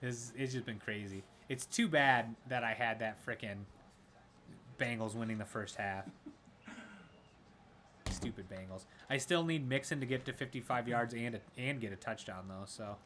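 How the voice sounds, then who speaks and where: A man doing casual live play-by-play of a football game, excitable, his voice raspy from screaming. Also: medium pitch, normal speed, slight background noise. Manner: excitable, shouts at big plays. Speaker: gaming streamer doing play-by-play. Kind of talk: casual live sports commentary.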